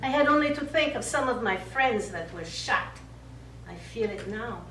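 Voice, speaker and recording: An actress on stage playing a middle-aged Italian war survivor, bitter and wounded, speaking deliberately and accusingly. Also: female voice, on the high side, lively intonation, a bit bright. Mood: bitter, pained. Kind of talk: stage play acting. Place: small theatre stage. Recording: echoey theatre stage, distant mic, faint noise.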